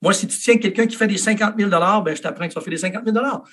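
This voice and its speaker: A man from Canada with a Quebec French accent, giving an energetic, filler-heavy motivational pitch on a video call. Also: very fast. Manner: energetic, with many fillers and restarts. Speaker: network marketing speaker.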